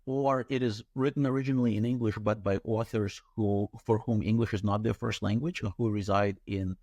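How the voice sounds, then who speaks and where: A man's voice: on the low side, fairly even intonation, fairly quick, darkish tone. A middle-aged man from Ukraine whose first language is Russian, explaining steadily as an interview guest over a video call. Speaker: author and editor, guest. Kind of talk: interview answers.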